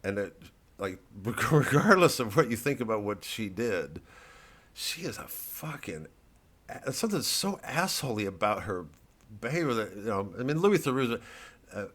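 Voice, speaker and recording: A man hosting a casual comedy monologue, digressing often, swearing freely and talking in halting, restarted sentences. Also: on the low side, big pitch swings, a bit bright. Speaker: comedian, host.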